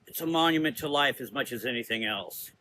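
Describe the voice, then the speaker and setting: A man's voice: mid-range voice, animated, fairly quick, pushed and bright. A man playing a brooding, formal traveler with a solemn, dryly ironic manner in a gothic audio drama. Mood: grave, reflective.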